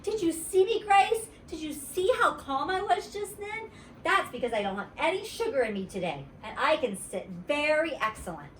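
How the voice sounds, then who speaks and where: A woman voicing an excitable, chatty little schoolgirl storybook character, insistent and gushing, with childish grammar. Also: high voice, normal speed, pitched above the performer's own voice. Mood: proud, excited. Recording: roomy, distant mic, faint noise.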